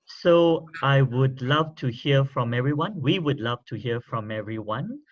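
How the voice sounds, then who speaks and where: A man's voice: medium pitch, expressive, unhurried. A middle-aged man casually hosting an online meeting as its facilitator. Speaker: session facilitator. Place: indoors on a group video call. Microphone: video call microphone. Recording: dull video-call audio.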